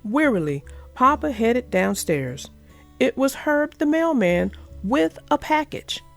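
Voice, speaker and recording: A woman reading a children's storybook aloud as narrator, in an expressive storytelling manner. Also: high voice, takes it slowly, gravelly, soft background music. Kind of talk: scripted storybook read-aloud.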